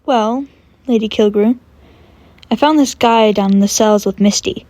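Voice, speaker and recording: A woman's voice: mid-range voice, normal speed, warm tone, dry recording, natural voice. A woman playing a blunt, suspicious pirate jailer in an audio drama. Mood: reporting.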